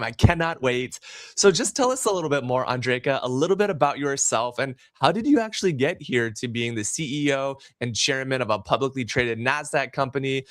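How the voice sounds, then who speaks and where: Male livestream host, eager and effusive, mid-pitched voice, dry close recording. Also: a bit bright, dry recording. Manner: enthusiastic, effusive. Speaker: podcast host. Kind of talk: livestream interview hosting.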